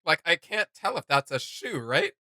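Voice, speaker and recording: Male reaction host: slangy, enthusiastic, slightly high bright voice, casual chat. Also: sing-song, moderate pace, no echo, studio quality.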